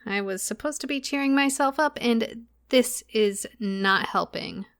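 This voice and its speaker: A friendly young woman in an audio drama, with a slightly high voice. She talks casually and chattily, like someone at home with only her cat for company. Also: measured pace. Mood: casual, musing. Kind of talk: conversational audio drama acting.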